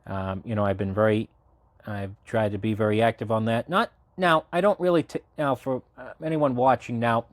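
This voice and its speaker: A young man in his late twenties, from Massachusetts, chatting as a guest on a podcast. He rambles along with plenty of "um"s and "you know"s and restarts mid-sentence. His voice sits at a middle pitch and sounds close and dry, with no room around it. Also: no echo. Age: 20s. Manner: talkative, rambling, frequent fillers and restarts. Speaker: podcast guest, local party committee chair. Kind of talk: casual interview conversation.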